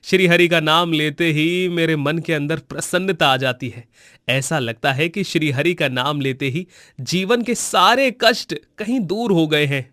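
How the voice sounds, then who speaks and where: Man playing a devout village butcher, slightly high voice. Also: a little slow, bright, forceful tone, no room sound, clean studio sound. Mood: earnest, content. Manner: earnest, pious. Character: devout, humble male village butcher, gentle and wondering. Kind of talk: cartoon moral-story voice acting.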